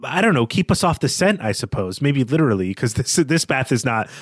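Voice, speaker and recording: A man's voice: mid-range voice, very animated, brisk, no echo. A man co-hosting a literary podcast, talking through a close reading in an articulate, reflective, bookish way. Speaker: co-host of literary discussion podcast. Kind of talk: conversational literary analysis.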